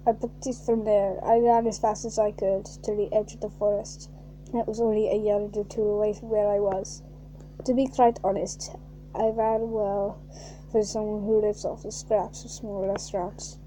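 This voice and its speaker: Woman reading aloud in a mellow voice. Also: medium pitch, normal speed, a little hiss or hum, low-quality recording. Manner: reads flatly, breaks into shrieking, laughing asides. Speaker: reader of fan fiction. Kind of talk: mocking reading of fan fiction aloud.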